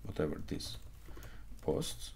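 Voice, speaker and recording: A man, an English speaker explaining code informally and haltingly as an instructor in a live tutorial. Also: darkish tone, a little hiss or hum. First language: not English; also speaks Hebrew, Russian and Ukrainian. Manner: halting, frequent okay check-ins, non-native phrasing. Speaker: programming instructor. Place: small indoor room, webcam screen recording.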